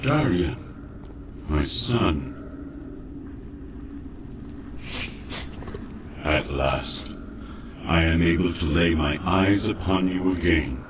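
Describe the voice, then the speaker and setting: Casting: weary undead knight father, deep, deliberate and dramatic, for a fantasy game. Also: animated. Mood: tender. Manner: grave, halting, dramatic. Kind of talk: fantasy game cutscene acting. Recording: noisy game ambience.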